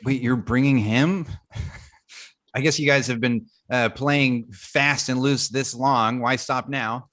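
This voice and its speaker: Guy playing a stammery defensive dude who turns into a monster, comedy table read, ordinary pitch. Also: fairly even intonation, fairly bright tone. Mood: sardonic. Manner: halting, stammering, indignant. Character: flustered, defensive young man cursed to become a werewolf.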